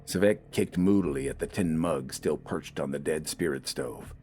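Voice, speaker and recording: A man's voice: very deep voice, normal speed, darkish tone, soft background music. A man reading scripted, dramatic fiction as an audiobook narrator.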